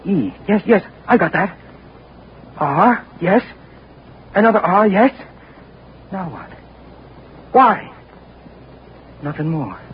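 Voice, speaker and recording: A man's voice: high voice, very animated, slow pace, long pauses, full character voice. An actor in a radio drama playing a wounded man trapped in a silent body, pleading urgently in his own thoughts. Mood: puzzled. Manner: pleading, urgent, talks to himself. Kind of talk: 1940s radio acting, inner monologue. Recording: muffled old radio recording with hiss.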